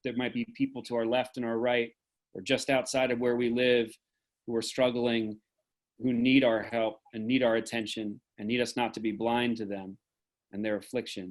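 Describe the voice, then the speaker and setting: A man speaking as host of an online event, giving earnest, deliberate closing remarks on a video call. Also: mid-range voice, little pitch movement. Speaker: host and moderator.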